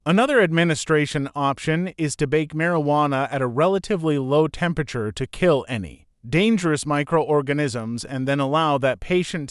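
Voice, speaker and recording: A man with a slightly high voice reads academic text aloud in a formal, matter-of-fact way. The sound is very dry, with no room around it. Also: no room sound. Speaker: model reader for English test practice. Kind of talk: scripted read-aloud of an academic passage.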